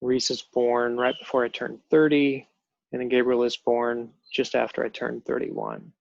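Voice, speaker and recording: Guest, male, 50s; gravelly, halting storyteller, dull dry audio. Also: medium pitch, dry recording. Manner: halting, many ums and restarts. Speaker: guest telling his adoption story. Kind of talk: personal storytelling on a panel show.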